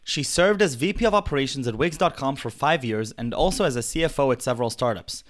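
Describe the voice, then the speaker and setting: A man's voice: mid-range voice, lively intonation, fast talker. A man reading a scripted podcast introduction as the show's host. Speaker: show host. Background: music.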